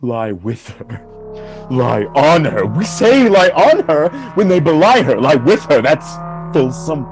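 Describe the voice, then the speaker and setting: A man's voice: on the high side, big pitch swings, slow pace, over music. A man acting a proud, tormented, jealous general in a Shakespearean radio play, brooding and impassioned in his verse. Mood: frenzied anguish.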